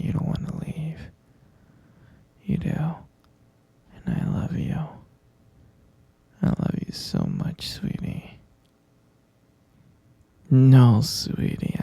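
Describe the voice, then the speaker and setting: Casting: obsessive lovesick male captor played by a male actor for ASMR roleplay; very dark, soft tone, unhurried, cooing and possessive. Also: on the high side, no room sound, full character voice. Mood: adoring, possessive, then insistent, defensive. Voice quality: breathy, husky whisper. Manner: cooing, coaxing, insistent repetition.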